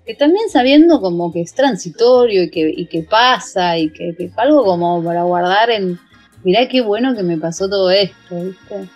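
A woman's voice: mid-range voice, moderate pace, lo-fi sound. Argentine woman in her 40s, chatty guest on a video call, faint music bed. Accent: Argentine Spanish. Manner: animated, many restarts and fillers. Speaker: guest, musical theatre actress. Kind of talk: casual video-call interview. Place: home room with bookshelves.

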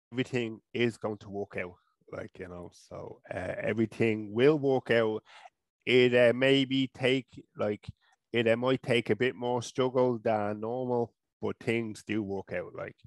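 A man in his thirties with an Irish accent, talking in a casual interview and stuttering, halting and restarting often, into a headset mic in a small room at home. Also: mid-range voice, dry recording. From Ireland. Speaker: guest.

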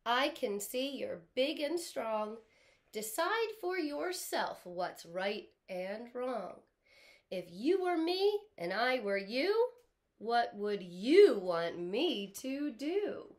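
A tiny storybook ant, played by a woman, brave and pleading. It speaks in a fairly high, very melodic voice, stretching earnest rhymes out slowly. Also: big pitch swings. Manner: slow, earnest, emphatic rhymes.

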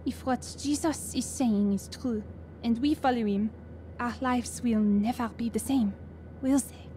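An actress plays a thoughtful French wife and mother with a fairly high voice. She is sincere and full of questions, in radio drama style. A light ambience is heard behind her. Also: a little slow, dark tone, a little hiss or hum, natural voice, poor audio. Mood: curious, sincere. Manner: sincere, inquisitive. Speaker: actor in audio drama.

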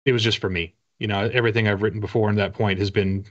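A man in his forties chatting casually as an interview guest, halting and wry in a self-deprecating way. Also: lowish voice, steady tone. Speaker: guest, author. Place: indoor home room with bookshelves. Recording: dull, lo-fi video call audio.

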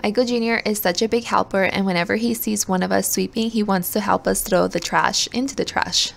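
A woman's voice: medium pitch, steady tone, brisk, gravelly, soft background music. A young adult woman narrating her home vlog in an easygoing, chatty voice-over. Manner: easygoing, chatty, repeats words for emphasis. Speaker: vlogger.

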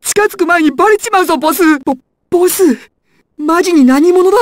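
High-voiced, volatile young male gangster. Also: a little fast, no echo, studio quality. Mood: anxious, alert. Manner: stammering, swings from timid to explosive. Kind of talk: anime and game dramatic acting.

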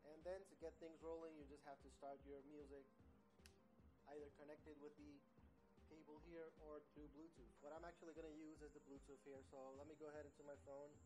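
A man in his forties with a slightly high voice gives a casual product demonstration. He explains things step by step in a plain-spoken way, like a hands-on reviewer. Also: level tone, a little fast, music in the background, low-quality recording. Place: small indoor room, seated at a table. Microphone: standalone audio recorder on the table.

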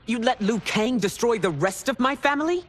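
An actress playing a furious, grieving empress who accuses an old friend. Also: female voice, fairly high pitch, animated, normal speed. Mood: outraged. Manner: outraged, accusing.

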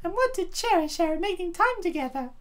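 A woman voicing a gentle motherly princess who tenderly narrates her story in a comic dub. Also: very high-pitched, very animated, a little fast. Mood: soft, fond.

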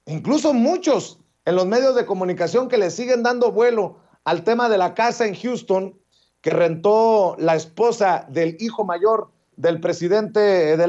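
Sarcastic middle-aged host, dull audio. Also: male voice, sits high, a little mellow. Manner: sarcastic, fluent with filler "eh". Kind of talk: political talk show, conversational. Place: office with window blinds, indoors.

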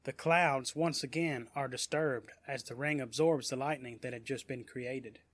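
A man's voice: mid-range voice, steady tone, moderate pace, a bit bright. A man reading scripted narration for a superhero audiobook story. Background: music.

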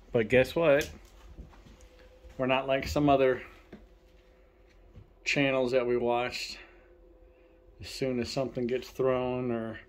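Young man hunting ghosts in a dark house. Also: medium pitch. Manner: loud provoking challenges to the spirits. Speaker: paranormal investigator. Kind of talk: casual ghost-hunting vlog talk. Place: dark abandoned farmhouse at night.

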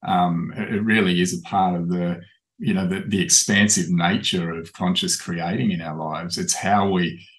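A middle-aged man, a life coach calmly talking to camera about personal growth, recorded on a webcam in a small room at home. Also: deep voice, normal speed. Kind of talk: reflective coaching talk to camera.

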